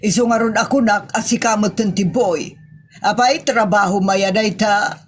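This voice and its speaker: A woman's voice: mid-range voice, steady tone, normal speed, close to an everyday voice. A woman playing an exasperated, complaining woman in an Ilocano comedy drama, with an Ilocano accent and an emotive, scolding delivery. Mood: questioning, nagging. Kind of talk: Ilocano comedy radio-style drama acting.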